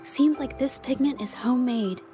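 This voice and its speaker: A woman's voice: high voice, normal speed, over music, natural voice. A woman voicing a shy, earnest, self-doubting young woman painter in a video game, hesitant and apologetic. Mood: curious. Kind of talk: dramatic game voice acting.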